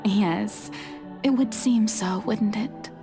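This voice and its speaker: A woman voicing a centuries-old female god in a video game, serene and quietly resolute, with a teasing, theatrical, formal manner. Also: mid-range voice, takes it slowly. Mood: solemn, knowing. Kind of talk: dramatic video game voice acting. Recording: music behind the voice. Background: music.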